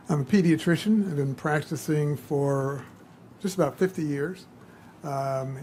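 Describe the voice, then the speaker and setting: A man in his seventies making measured, deliberate remarks at a public roundtable as a medical school dean. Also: fairly high pitch, a little mellow. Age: 70s. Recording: live event microphone with background room noise.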